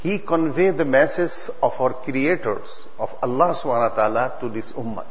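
Bangladeshi man preaching in a reverberant hall. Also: mid-range voice. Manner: earnest, halting, emphatic, repeating phrases in non-native English. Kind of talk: religious sermon to a congregation. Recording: dull recording in a long-reverb hall.

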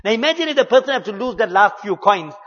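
A man giving an earnest, measured religious talk, mixing in Arabic and Urdu religious terms. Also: high voice, animated, a bit bright, big-room sound, lo-fi sound. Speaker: Islamic preacher.

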